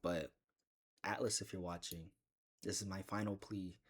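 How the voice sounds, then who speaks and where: A man with a deep voice chatting casually in a gaming vlog, rambling and joking with bursts of excitement. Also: moderate pace, breathy edge. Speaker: gaming video creator.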